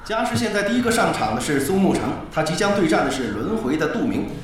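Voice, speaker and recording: A man playing an excitable esports commentator calling a live match in an audio drama. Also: a little high, quick pace, noisy background. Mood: announcing. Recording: very echoey arena-like sound.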